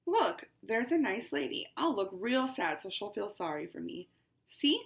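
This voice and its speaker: A woman's voice: medium pitch, big pitch swings, a little slow, vocal fry. A woman voicing a haughty, pampered house cat as she reads a picture book aloud, with a dramatic, exclaiming delivery. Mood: fussy. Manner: dramatic, exclaiming storybook reading. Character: haughty pampered house cat, female-ish narrator, fussy.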